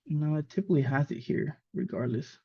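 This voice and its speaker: A man's voice: fairly high pitch, fairly quick, slightly rough, dry recording. A man born in Mexico, a native Spanish speaker, casually leading a live coding lesson with lots of fillers and restarts. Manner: casual, rambling with many fillers. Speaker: coding instructor. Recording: dull lo-fi sound.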